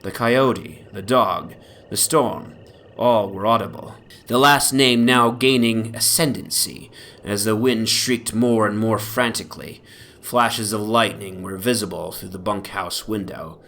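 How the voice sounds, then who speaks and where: A man giving a formal, measured, theatrical dramatic reading of a gothic horror story as its narrator. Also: on the low side, a bit bright. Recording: background noise from an ambient soundscape. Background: atmospheric soundscape.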